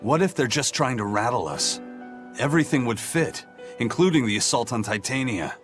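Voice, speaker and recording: An actor voicing a stern, seasoned pirate captain in game dialogue, speaking in a measured, grave, analytical way. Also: male voice, medium pitch, expressive, over music, natural voice. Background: game score.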